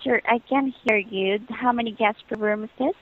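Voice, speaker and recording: A woman's voice: a little high, normal speed. A woman working as a hotel booking agent, speaking politely and patiently in formulaic customer-service phrases over the phone. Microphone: telephone line. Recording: muffled lo-fi phone call.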